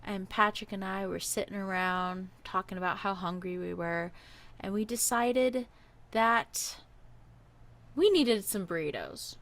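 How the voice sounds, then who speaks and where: A young woman from Hawaii co-hosting a podcast, telling a story in a lively, laughing way. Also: mid-range voice, a little slow. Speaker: podcast co-host. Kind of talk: casual storytelling.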